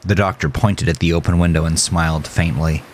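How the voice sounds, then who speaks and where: A man's voice: deep voice, steady tone, a little fast, slight background noise. A man reading scripted, dramatic horror narration as a storyteller.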